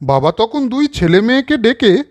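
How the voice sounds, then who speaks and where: A woman's voice: fairly high pitch, big pitch swings, normal speed, a little mellow. A woman storyteller reading scripted narration and addressing young viewers directly.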